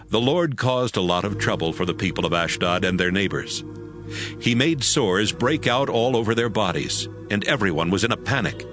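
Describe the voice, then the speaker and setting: A man's voice: lowish voice, a bit bright. A man narrating a dramatized audiobook as a scripted storyteller.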